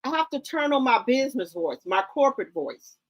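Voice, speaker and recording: A woman's voice: high voice, big pitch swings, a little fast, low-quality recording. A middle-aged woman hosting casual commentary, blunt and lecturing, mixing slang into her talk. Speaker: commentary host.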